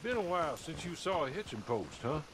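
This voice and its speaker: Courteous, wry western gunman, slightly high voice. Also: a little fast, background noise. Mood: earnest. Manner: formal, polite, calmly commanding. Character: courteous, wry gunman, loyal agent of a mistress. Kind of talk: dramatic video game acting. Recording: music behind the voice.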